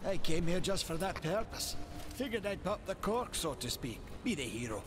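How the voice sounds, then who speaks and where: Big folksy smith giant, lively pitch, kinda noisy game audio. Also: male voice, moderate pace. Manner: plain-spoken, homely turns of phrase. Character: huge male smith-giant, earnest and folksy. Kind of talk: video game dialogue. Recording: game audio with background noise.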